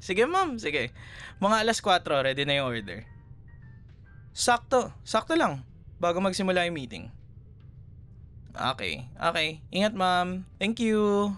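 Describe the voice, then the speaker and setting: A man's voice: high-pitched, big pitch swings, measured pace, long pauses, fairly bright tone, faint music behind, heavily acted. A Filipino man playing a chatty, teasing café worker in a casual, naturalistic boyfriend roleplay audio. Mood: polite, businesslike. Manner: chatty, playful, casual conversational.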